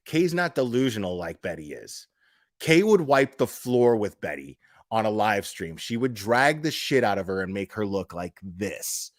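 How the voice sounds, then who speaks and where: A man's voice: on the low side, big pitch swings, normal speed, no echo, clean studio sound. A man speaking casually and off the cuff like a live streamer on a rant, blunt and profane, repeating phrases for emphasis. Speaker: livestream commentator.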